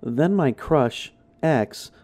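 Male narrator for horror stories: very lively pitch, unhurried, close dry sound. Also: dry recording. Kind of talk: dramatic scripted narration.

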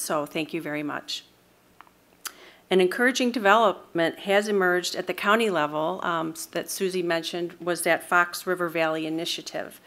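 A woman's voice: on the low side, fairly bright tone. A middle-aged woman with an American accent delivers a partly read prepared statement at a podium microphone in a meeting room. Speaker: mental health board member presenting to city council. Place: large council meeting room, indoors.

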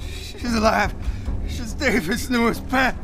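A man's voice: extremely high pitch, takes it slowly, music in the background, full character voice. An actor playing a frightened male captive thug in a video game, pleading in a voice strained with pain and fear. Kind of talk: dramatic video game cutscene acting.

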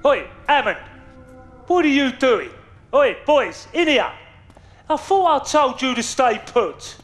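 Nasty london gangster in a game, high voice, taunting and volatile, music behind. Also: male voice, expressive, slow, fairly bright tone, full character voice. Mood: suspicious. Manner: taunting, bullying, foul-mouthed. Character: volatile, sadistic, menacing male London gangster. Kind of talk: video game cutscene acting.

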